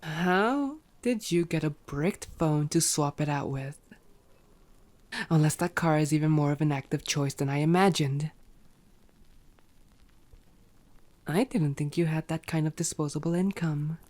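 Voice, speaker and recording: A woman's voice: deep voice, darkish tone, close to an everyday voice. A woman playing a sarcastic, teasing female vampire in an ASMR roleplay audio drama, playful and exasperated. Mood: sarcastic, amused.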